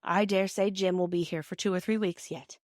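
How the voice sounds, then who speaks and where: A woman voicing a gentle, patient British mother in a storybook, reasoning tenderly and in measured phrases. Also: lowish voice, fairly even intonation, no room sound, clean studio sound, close to an everyday voice. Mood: tender, wistful.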